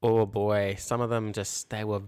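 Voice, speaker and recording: A man's voice: lowish voice, little pitch movement, unhurried, a little mellow, clear, resonant tone, no room sound. A man co-hosting a podcast in casual banter, chipping in with wry, dry remarks. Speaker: podcast co-host.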